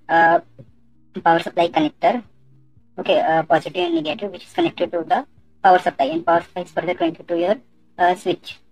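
A woman presenting an explanatory tutorial in a halting way, often repeating words and phrases as she goes. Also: on the low side, darkish tone, poor audio. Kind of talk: explanatory screen-share tutorial.

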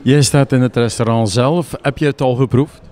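A Flemish man interviews guests as a local TV reporter. His voice is soft-edged and dark, of average pitch, and he speaks conversationally into a handheld microphone. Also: fairly even intonation, moderate pace. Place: indoors.

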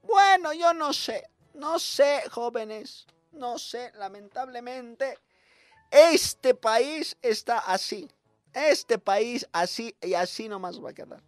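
A young man performing a weary, scolding schoolteacher with a Bolivian accent in a comic radio monologue, halting and repeating himself in exasperation. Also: extremely high pitch, expressive, dry recording, soft background music, exaggerated voice. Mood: resigned lecturing. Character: weary, scolding schoolteacher given to rambling lectures.

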